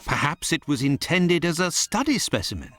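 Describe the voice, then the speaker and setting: British sidekick doctor, slightly high voice, swoops about, concerned and curious, polite, video game with music. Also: male voice, sing-song, moderate pace, fairly bright tone, dry recording. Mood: pondering. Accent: British English. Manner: earnest, polite, inquiring. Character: earnest, concerned male Victorian English doctor, detective's companion. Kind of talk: dramatic video game dialogue.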